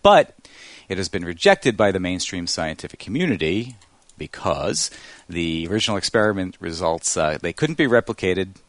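Low-pitched man, podcast segment host, dry close sound, playful announcer phrasing, conversational. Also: big pitch swings, moderate pace, no room sound.

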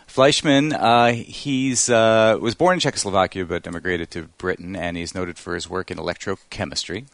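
Low-pitched man, podcast segment host, dry close sound, playful announcer phrasing, conversational. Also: fairly even intonation, normal speed, gravelly, a bit bright, no room sound.

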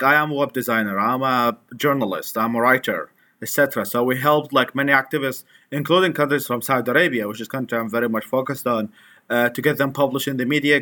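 A man, a guest in an interview, talking in a halting way with many restarts. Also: medium pitch, pushed and bright. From Iraq. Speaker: guest, activist. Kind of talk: conversational interview.

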